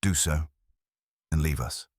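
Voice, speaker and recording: A man voicing a stern, unhurried detective in an audiobook, speaking with deliberate finality. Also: very deep voice, husky, dry recording, studio quality, heavily acted. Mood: curt.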